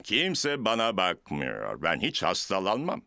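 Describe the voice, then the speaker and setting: A man's voice: low-pitched, huge pitch swings, measured pace, vocal fry, bright, forceful tone, no echo, studio quality, exaggerated voice. A man voicing a kindly, unflappable bear doctor in a children's cartoon, with a hearty, reassuring manner. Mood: unworried.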